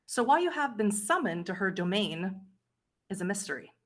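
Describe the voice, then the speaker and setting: A woman's voice: mid-range voice, very animated, normal speed. A woman hosting and narrating a tabletop roleplay game as its storyteller.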